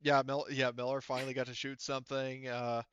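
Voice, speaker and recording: A man acting as game master in casual tabletop talk, haltingly thinking aloud as he explains. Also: mid-range voice, little pitch movement, fairly bright tone, smooth, no echo, lo-fi sound.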